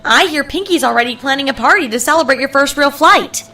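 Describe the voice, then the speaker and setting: Woman playing young female pony, bright high voice, practical, storybook cartoon character. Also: expressive, quick pace, heavily acted. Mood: pleased, practical. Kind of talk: fan fiction audio reading, character voice.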